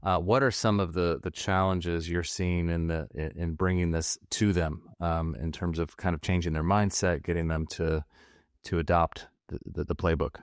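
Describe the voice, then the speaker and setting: Brief: male co-host, low smooth voice, dry sound, asks questions in a searching, rephrasing way. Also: flat delivery, normal speed, dry recording. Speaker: podcast co-host. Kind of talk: podcast interview.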